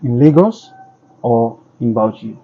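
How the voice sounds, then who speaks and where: A man's voice: medium pitch, measured pace, vocal fry. A man hosting a casual street interview, asking questions plainly and prompting his guest. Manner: asks questions plainly, prompts and finishes the other's sentences. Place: outdoors on a street. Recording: dull lo-fi audio.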